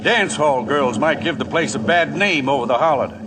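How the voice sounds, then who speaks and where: An actor playing a genial but firm middle-aged Old West marshal, drawling and rambling in 1950s radio drama. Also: male voice, on the high side, normal speed, noisy background, natural voice.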